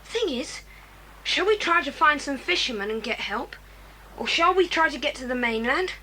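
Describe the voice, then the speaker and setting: Bold high-voiced boy leader. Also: lively intonation, bright, forceful tone, low-quality recording. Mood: decisive. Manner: brisk, commanding, earnest. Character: Jack, bold decisive boy leading the children. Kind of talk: children's audiobook drama acting. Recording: hissy, rumbling 1980s cassette transfer.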